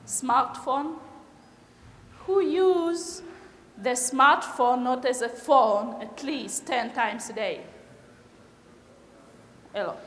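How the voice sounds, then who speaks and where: A woman's voice: high-pitched, extremely slow, pauses often, fairly bright tone. A middle-aged woman from Kyrgyzstan giving a conference talk, hesitant with many fillers and non-native phrasing, recorded in a large lecture hall. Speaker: conference speaker. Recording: echoey hall with reverb, faint noise.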